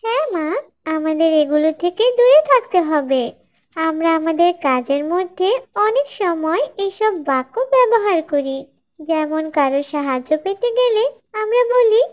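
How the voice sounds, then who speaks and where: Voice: very high-pitched, expressive, normal speed. A performer voicing a pious little schoolgirl in a cartoon, earnestly teaching others in a careful, preachy way. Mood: gently correcting. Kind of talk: scripted moral dialogue for an Islamic cartoon.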